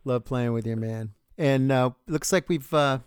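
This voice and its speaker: A man's voice: medium pitch, measured pace, smooth, no room sound, clean studio sound. A man hosting an interview show, easygoing and friendly, calling his guest man. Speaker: show host, guitarist.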